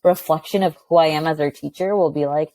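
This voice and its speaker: Mulher de voz grave e fala alta e animada, professora convidada, som seco e próximo. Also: female voice, sits low, fast, no echo. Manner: loud, chatty, animated, many "like" fillers. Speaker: guest, high school teacher. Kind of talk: casual conversational interview.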